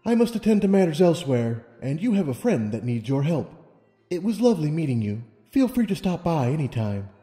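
A man's voice: on the high side, big pitch swings, a little fast, dark tone, voice pushed up. A man voicing a wise, serene female pony ruler in a storybook reading, with solemn, formal speech. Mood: gracious. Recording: long reverb, music underneath.

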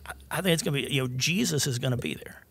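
Brief: gravelly older male guest, eager, rambling, keeps asking "right?". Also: medium pitch, big pitch swings, very fast, fairly bright tone. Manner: enthusiastic, rambling with restarts and constant "right?". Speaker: guest, church member and data consultant. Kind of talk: casual podcast conversation. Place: small studio room with curtain backdrop. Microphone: desk mic on a boom arm.

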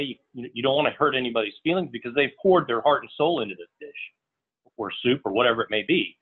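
A middle-aged man, a veteran restaurant chef, answering interview questions in a halting way with many fillers and repeats. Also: medium pitch. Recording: tinny phone-like audio.

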